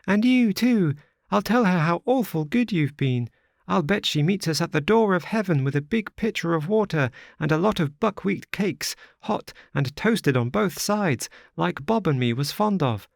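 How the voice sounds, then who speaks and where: A chirpy little pioneer girl, innocent and chatty, her voice pitched high and light, as a male narrator puts her on. The recording is dry and close for an audiobook. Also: animated, moderate pace, vocal fry, no room sound. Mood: eager. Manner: high, bright childish voice.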